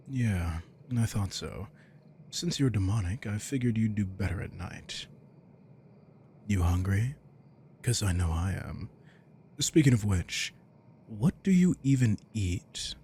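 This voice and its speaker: A man's voice: on the low side, darkish tone, slight background noise. A man playing a caring vampire king in an intimate roleplay audio, speaking in a casual, teasing, protective way. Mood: relaxed, curious. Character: commanding but caring male vampire king.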